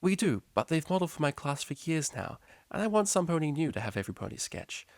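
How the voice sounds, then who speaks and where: A man's voice: fairly high pitch, sing-song, quick pace, no echo, pitched above the performer's own voice. A man voicing a kindly, politely chatty female pony art teacher in a story reading. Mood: friendly.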